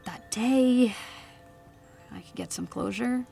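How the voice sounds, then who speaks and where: A woman's voice: fairly high pitch, slow pace, gravelly, warm tone, breathy edge, faint music behind, full character voice. An actress playing a grieving, anxious young farm woman in an audio drama, suspicious and defiant. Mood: troubled, hesitant.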